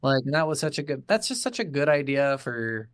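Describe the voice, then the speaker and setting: A man talks casually as a podcast host reviewing games. His voice sits at a middling pitch, and he is blunt and swears freely. The sound is very dry, with little room in it. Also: big pitch swings, fairly quick, dry recording. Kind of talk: casual video game review chat.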